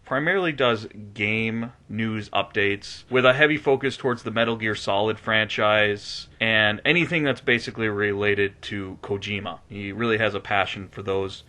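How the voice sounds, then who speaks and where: A man's voice: on the low side, fairly even intonation, moderate pace, hardly any pauses, pushed and bright. A man hosting a video review show, giving conversational commentary in an earnest, emphatic and enthusiastic manner. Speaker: host of game movie review show.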